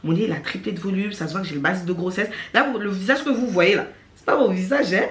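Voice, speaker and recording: A young woman vlogging at home, chatty and animated, with a stuffy nose from a cold. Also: medium pitch, fast talker. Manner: chatty and animated, laughing often. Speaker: vlogger and beauty entrepreneur. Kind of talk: casual vlog talking to camera. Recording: echoey room, voice from far off.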